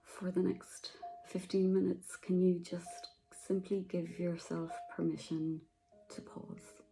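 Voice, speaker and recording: A woman guiding a meditation, speaking softly and slowly with long pauses. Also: lowish voice, level tone, creaky, poor audio. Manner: slow, soft, soothing, with long pauses. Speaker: meditation guide. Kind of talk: guided meditation.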